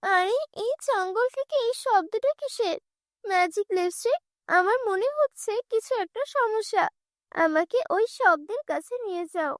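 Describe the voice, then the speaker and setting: A woman's voice: very high voice, animated, moderate pace, no echo, studio quality, voice pushed up. A woman voicing a poor, brave young village girl in a cartoon fairy tale, earnest and emotional. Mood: alert, determined.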